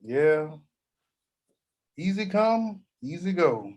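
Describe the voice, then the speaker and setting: A man's voice: a little high, sing-song, drawn-out words, exaggerated voice. An actor at a table read playing a crude, grumpy old grandfather who blusters and swears. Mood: weary. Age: older. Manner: profane, blustery, shouting when riled.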